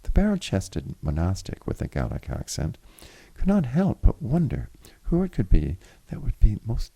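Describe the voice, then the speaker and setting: A man reading literary fiction aloud in a formal, deliberate way, with the occasional stumble. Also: low-pitched, vocal fry, mellow. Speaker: reader of a story chapter. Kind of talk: literary audiobook narration.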